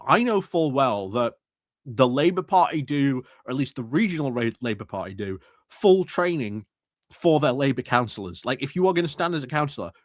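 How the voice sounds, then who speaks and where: A man's voice: medium pitch, no echo. Man giving casual political commentary, dry sound. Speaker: host commentator. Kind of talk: casual political commentary over a played clip.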